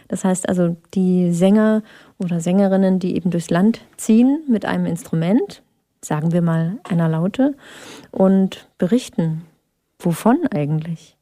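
A woman host moderating an interview, linking the topics with smooth segues. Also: on the low side, dark tone, dry recording, studio quality. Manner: links the topics, bridging the conversation.

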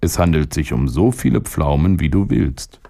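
A man voicing a talking stomach in a storybook reading, calm, patient and unhurried. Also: extremely low pitch, vocal fry, no room sound, studio quality, exaggerated voice.

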